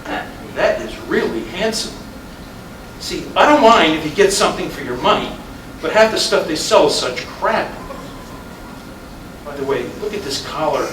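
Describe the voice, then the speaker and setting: A man's voice: on the high side, lively intonation, measured pace, pauses often, fairly bright tone. A man acting a weary, wry middle-aged policeman husband in a staged reading of a play. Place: indoor live reading at a college. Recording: echoey room from far off, noisy.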